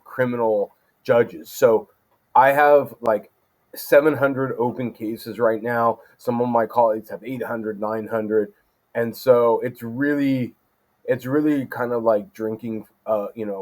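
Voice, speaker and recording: Relaxed man thinking aloud, mid voice. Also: medium pitch. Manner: relaxed, thinks aloud with many ums and restarts. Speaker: guest judge. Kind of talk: casual interview talk.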